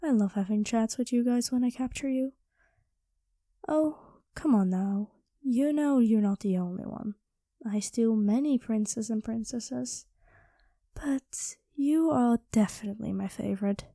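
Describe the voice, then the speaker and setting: Dragon queen, ASMR roleplay female performer: coy, possessive, higher-pitched yet velvety and relaxed, slipping into intimate whispers. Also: unhurried, dry recording, clean studio sound. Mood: playful, confiding. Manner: teasing, coy, intimate, often dropping into whispers. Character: playful possessive dragon queen, affectionate with captive royal.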